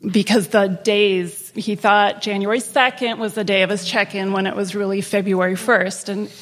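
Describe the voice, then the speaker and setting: A woman's voice: medium pitch, steady tone, normal speed. A woman attorney on a panel, telling a client story in a plain, explanatory way. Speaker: immigration attorney. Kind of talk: panel discussion. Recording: long hall reverb.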